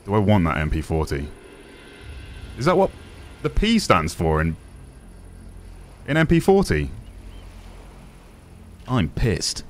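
Deep-voiced young man streaming a game. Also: takes it slowly, long pauses, slight background noise. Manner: excited asides, relaxed chat. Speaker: gaming streamer. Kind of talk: casual gameplay commentary.

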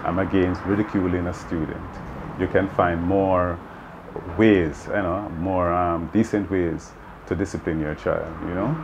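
A man's voice: low voice, fairly even intonation, unhurried, lo-fi sound, noisy background. A Jamaican man in his fifties, a math teacher earnestly explaining his views in an interview, often saying "you know". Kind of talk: interview answers. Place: open veranda outdoors.

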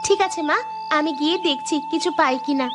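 High-voiced earnest young daughter voiced by a woman. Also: a little fast, close to an everyday voice. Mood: reassuring. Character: poor dutiful young daughter, gentle and pleading. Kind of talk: Bengali cartoon story voice acting. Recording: music behind the voice.

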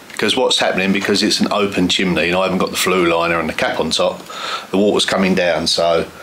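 A man's voice: sits low, fairly bright tone, a little hiss or hum. A man, casually explaining his building plans step by step with plenty of fillers, filmed inside a stone outbuilding. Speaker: vlogger renovating a stone cottage. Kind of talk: casual walkthrough vlog.

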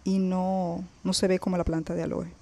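A woman's voice: lowish voice, little pitch movement, normal speed, warm tone. A woman hosting a casual gardening vlog, chatting straight to her viewers and pointing things out as she films her plants outdoors on a balcony. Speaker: gardening vlogger.

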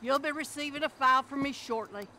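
A woman's voice: high-pitched, sharp tone, slight background noise. An actress playing a folksy, sunny, flirty bail bond agent woman in a video game, talking wordily and without hurry. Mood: cheerful, flirty. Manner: unhurried, sunny, wordy. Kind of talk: video game cutscene acting.

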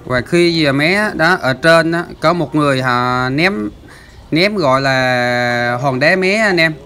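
A man's voice: a little high, unhurried, bright, forceful tone. A man hosting a fishing channel, explaining the footage in a chatty voice-over with lots of filler sounds. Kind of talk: casual voice-over commentary.

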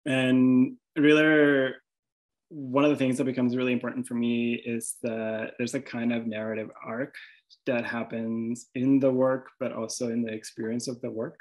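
A man's voice: medium pitch, little pitch movement, normal speed, rough recording. A man from Venezuela with a Venezuelan accent, an artist presenting his work over slides, hesitant, with many restarts and fillers.

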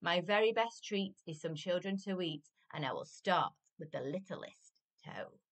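A woman's voice: lowish voice, expressive, a little slow. A woman voicing a grumpy, child-gobbling male giant from a storybook, chanting emphatically in rhyme. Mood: menacing, hungry. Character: grumpy, gobbling male giant who softens when praised.

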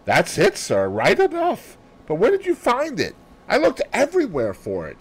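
A man's voice: high voice, wildly swooping pitch, moderate pace, slightly rough, pitched above the performer's own voice, heavily acted. A man voicing a loyal, indignant housemaid who speaks emphatically and respectfully in an audiobook. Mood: earnest, respectful. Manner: emphatic, deferential. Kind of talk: audiobook character voice.

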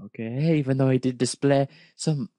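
A man's voice: mid-range voice, fairly even intonation, moderate pace, warm tone, no room sound. A man reading a story aloud and commenting on it casually in an incredulous, nitpicking way. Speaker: fan fiction reader and commentator.

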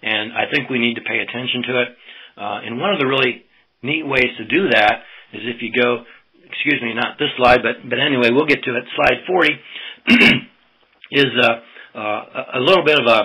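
An American man giving an informal slide lecture to farmers as a presenter, in a folksy, halting way. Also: mid-range voice, bright, forceful tone, slightly rough, rough recording. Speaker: presenter, swine extension specialist.

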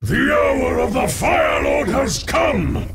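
Video game fire lord: colossal, wrathful, imperious. Slow, booming declamation that swings wildly in pitch, harsh rasp throughout. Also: male voice, wildly swooping pitch, fairly bright tone, raised voice, a little hiss or hum, exaggerated voice. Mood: grandiose proclaiming. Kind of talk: video game hero voice lines.

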